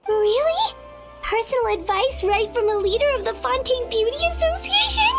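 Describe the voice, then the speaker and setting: A woman voicing a tiny, excitable, cute fairy companion with a squeaky childlike voice in an anime-style video game. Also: normal speed, full character voice. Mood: excited. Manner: speaks of self in third person, gushing. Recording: loud music bed behind voice.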